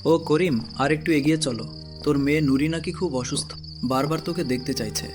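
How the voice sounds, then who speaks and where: A man's voice: on the high side, brisk, lightly acted. A man playing a deceitful, cruel estate henchman in a cartoon story, in a scheming, menacing manner. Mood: coaxing deceit. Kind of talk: villainous cartoon story acting. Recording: loud music behind the voice.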